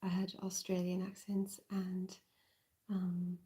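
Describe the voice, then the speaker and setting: A woman with a softened Northern Irish accent, whispering in a relaxed ASMR livestream and rambling with plenty of fillers. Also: on the low side. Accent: Northern Irish, softened and mixed. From Northern Ireland. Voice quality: soft whisper. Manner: halting, rambling, with many fillers.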